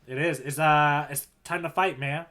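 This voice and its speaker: A man with a slightly high-pitched voice, chatting casually as a comedic podcast co-host. He jokes in a mock-official way, as if he were in charge, and repeats little phrases for effect. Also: fairly even intonation, moderate pace, pushed and bright. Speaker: podcast co-host playing the tour guide.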